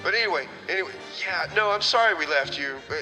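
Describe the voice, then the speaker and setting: A man's voice: sits high, animated, quick pace, pushed and bright, full character voice. A man playing a harried, dismissive DJ in radio comedy, repeating himself in exasperation. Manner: repetitive, exasperated. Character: harried, dismissive male DJ calling in from camp. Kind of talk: comedy radio theater dialogue. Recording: reverberant hall sound, music behind.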